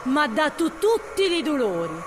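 Bereaved mother, bitter, Gallurese accent, audio drama: highish, swooping, slow lament over noisy ambience. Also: sing-song. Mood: sorrowful. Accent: Gallurese, northern Sardinia. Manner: lamenting, drawn-out, emotive. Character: grieving mother and widow, bitter, bereft of husband and son.

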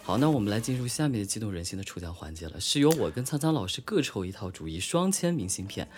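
A man co-hosts a live stream in rapid, animated speech. He is effusive and chimes in often with casual banter. Faint music plays underneath. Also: fairly low pitch. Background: background music.

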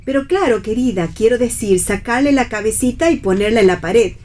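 A woman's voice: fairly high pitch, fairly quick, a little mellow, slight background noise, close to an everyday voice. An actress playing a fussy, anxious middle-aged mother in a radio play, with an Argentine accent and a hesitant, solicitous manner. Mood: fussing, insistent. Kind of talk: radio theatre play reading.

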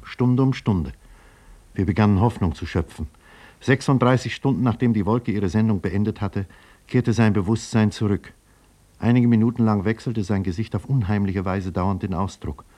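An actor playing a sober male scientist and doctor who narrates his own story in a measured, grave, explanatory manner, 1950s radio drama style. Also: lowish voice, darkish tone, lightly acted.

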